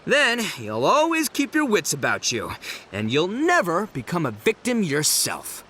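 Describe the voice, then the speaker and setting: A man voicing a brash, boisterous young male gang leader in a game, loud and slangy. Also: sits high, huge pitch swings, measured pace, slight background noise, full character voice. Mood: sincere. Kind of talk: game cutscene voice acting.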